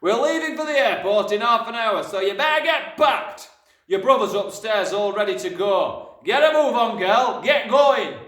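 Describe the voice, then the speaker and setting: A man voicing a gruff, impatient, bullying father from a storybook, barking curt orders. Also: high voice, lively intonation, takes it slowly, pushed and bright, voice pushed up, exaggerated voice. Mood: brusque. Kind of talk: storybook character voice. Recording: roomy, distant microphone.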